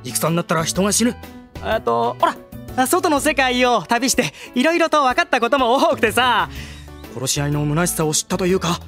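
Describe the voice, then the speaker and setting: Rough bratty young prince, drama cd, bouncy pitch, faint music behind. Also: male voice, very animated, moderate pace, fairly bright tone, heavily acted. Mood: defensive. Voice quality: rough, blunt, sulky. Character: brash spoiled young male royal heir. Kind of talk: anime drama CD acting.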